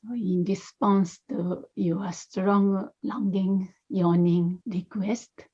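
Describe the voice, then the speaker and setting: A woman leading a guided meditation, speaking softly and unhurriedly in short phrases with long pauses. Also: mid-range voice, level tone, slightly rough, highs cut off. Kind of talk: guided meditation, gentle spoken instruction.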